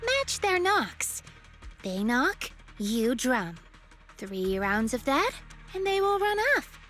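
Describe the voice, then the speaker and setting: Game role: inquisitive, playful girlish instructor, high and very animated, theatrical. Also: slow, soft background music, exaggerated voice. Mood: playfully instructive. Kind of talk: video game voice acting.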